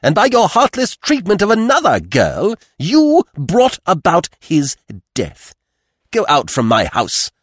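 A man voicing a stern, wronged older widow who speaks slowly and imperiously, in a declamatory audiobook style. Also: sits high, wildly swooping pitch, fairly bright tone, breathy edge, no echo, studio quality, voice pushed up, heavily acted. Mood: furious, renouncing.

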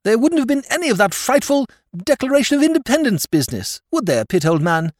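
A man voicing an excitable young English aristocrat who talks in gushing exclamations, in a dry recording. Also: very high voice, a little fast, no room sound, voice pushed up, full character voice. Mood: excited. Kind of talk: audiobook character voice.

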